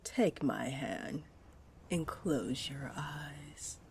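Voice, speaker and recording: A woman voicing a flirty, possessive mermaid in an intimate roleplay audio drama, coaxing in breathy half-whispers. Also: sits low, very slow, a little mellow, a little hiss or hum, exaggerated voice. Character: flirty, possessive, greedy female mermaid luring a pirate. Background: wave ambience.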